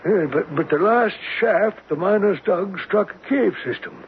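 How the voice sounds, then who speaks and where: An actor playing a scholarly older professor in a 1970s radio drama, speaking with a hesitant, deliberate manner. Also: male voice, high voice. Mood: absorbed, explaining. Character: scholarly older male professor, absent-minded yet eager. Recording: noisy, tinny old radio broadcast.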